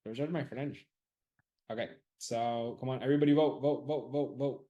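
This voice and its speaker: A man's voice: medium pitch, fairly even intonation, normal speed, dark tone, dull audio. A man running a live audience poll about class times, casually thinking aloud, loose and profane, often repeating himself.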